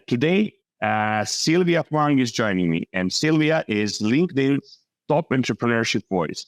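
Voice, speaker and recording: Low-voiced male podcast host. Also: dry recording. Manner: frequent "uh" fillers and restarts. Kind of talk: interview podcast hosting.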